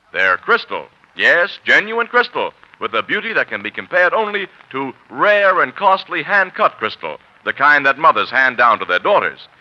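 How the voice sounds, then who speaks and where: A man's voice: mid-range voice, bright, forceful tone. An American man announcing for a 1940s radio sponsor, with polished, salesmanlike delivery. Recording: muffled 1940s radio recording.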